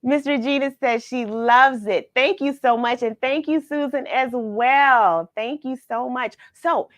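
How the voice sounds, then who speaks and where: A woman hosting a read-along, speaking in a measured, expressive storyteller way as she reads and chats to her audience. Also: high-pitched, dry recording, studio quality. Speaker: host of a read-along show. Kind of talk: expressive storybook reading and friendly live chat with viewers.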